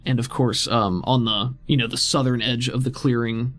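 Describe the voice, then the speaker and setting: A man's voice: medium pitch, fairly even intonation, normal speed, a bit bright. A man acting as game master, describing scenes in a conversational storytelling way for a tabletop roleplaying game. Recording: music behind the voice. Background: background music.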